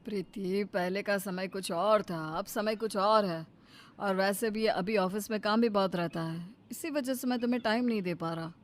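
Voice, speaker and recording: A woman's voice: medium pitch, moderate pace, a little hiss or hum, lightly acted. A woman voicing a tired, dismissive young husband in a cartoon story, speaking in an exasperated, defensive manner.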